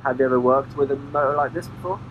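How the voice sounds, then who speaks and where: A man's voice: medium pitch, fast talker, muffled recording. A man asking casual questions as an interviewer behind the camera. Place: small boat wheelhouse. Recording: clear engine noise.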